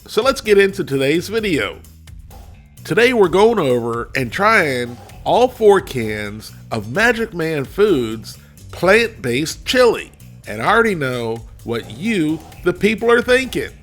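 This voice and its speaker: A man's voice: a little high, very animated, a little slow, a bit bright, faint music behind. A man hosting a casual food review video. He is congested and his voice is a little cracky, and he talks to viewers in a folksy, chatty way. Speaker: food reviewer, channel host.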